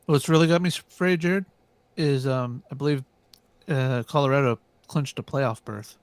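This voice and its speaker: A man on a casual podcast panel, speaking quickly and emphatically as a sports fan. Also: medium pitch, clean tone, no room sound. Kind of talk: casual chat.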